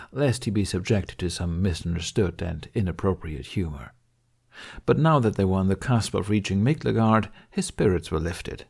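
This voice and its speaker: A man reading scripted fiction as an audiobook narrator, with a slightly husky voice. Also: low-pitched, a little mellow.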